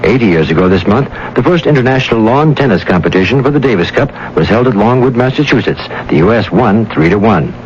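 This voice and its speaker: A man's voice: medium pitch, lively intonation, fast, few pauses. A man reading a scripted radio announcement in a clipped, formal announcer style. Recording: muffled, faintly noisy radio recording.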